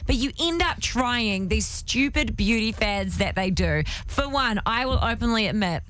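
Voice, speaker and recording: A woman co-hosting a radio show, telling stories animatedly and her voice jumping high when excited. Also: normal speed, a little hiss or hum. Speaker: radio co-host. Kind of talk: lively radio banter.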